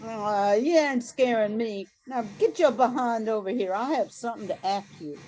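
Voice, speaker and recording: A woman's voice: on the high side, normal speed, mellow, a little hiss or hum, lightly acted. A woman playing a feisty, bossy, kind-hearted grandmother in a radio drama, with a folksy, scolding, coaxing way of talking. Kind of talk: amateur radio drama acting.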